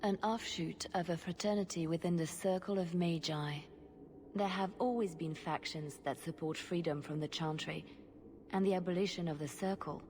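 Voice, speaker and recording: A woman plays a secret agent of a holy order in a fantasy game. Her voice sits fairly low for a woman, composed and grave. She speaks with deliberate care over faint background noise. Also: fairly even intonation, darkish tone, close to an everyday voice. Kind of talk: fantasy video game acting.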